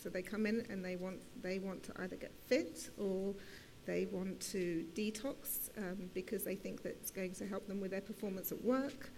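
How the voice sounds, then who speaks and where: Woman, medium pitch, unscripted panel talk, conference setting, faint noise. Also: steady tone, normal speed, warm tone. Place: conference session. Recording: faint hum and background noise.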